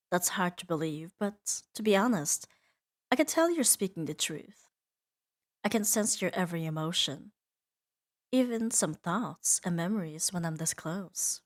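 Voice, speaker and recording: Slow, teasing demon mistress, played by a woman. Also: lowish voice, lively intonation. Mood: confiding, fond. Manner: slow, teasing, soothing, softly dominant. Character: seductive, possessive female demon doting on her new pet. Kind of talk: intimate ASMR roleplay monologue.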